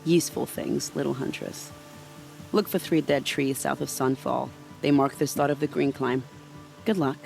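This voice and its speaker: A woman's voice: very deep voice, fairly even intonation, moderate pace, vocal fry, a little mellow, soft background music, exaggerated voice. An actress playing a witty, flirtatious woman spy in a video game, evasive and playfully sardonic. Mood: wry, confiding.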